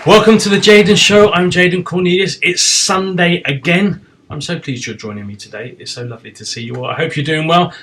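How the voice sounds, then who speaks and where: A man's voice: high-pitched, a little fast, a bit bright, some chatter behind, a little hiss or hum. A man hosting an online talk show in a chatty, informal style.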